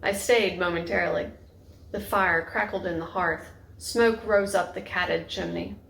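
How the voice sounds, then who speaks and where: A woman author giving a dramatic reading of a narrative story in a creaky voice. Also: fairly low pitch, steady tone, unhurried, fairly bright tone. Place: indoors in a bookshop. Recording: echoey room, faint noise.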